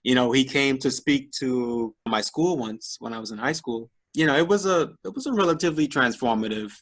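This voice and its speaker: Relaxed man co-hosting a podcast. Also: mid-range voice, brisk. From Bahamas. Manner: relaxed, thoughtful, many fillers like "you know". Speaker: podcast co-host. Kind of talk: casual podcast chat. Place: New York area, remote call.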